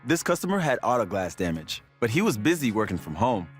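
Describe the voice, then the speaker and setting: Animated man narrating an advert over music. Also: lowish voice, fairly quick. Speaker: commercial narrator. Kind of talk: scripted advertisement.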